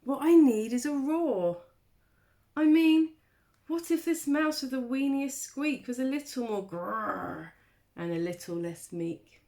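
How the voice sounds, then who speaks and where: A woman voicing a tiny, meek but hopeful male mouse in a children's storybook, with a small squeaky voice and an earnest, polite manner. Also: expressive, slow, dark tone, heavily acted. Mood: excited, determined. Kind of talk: children's storybook read aloud.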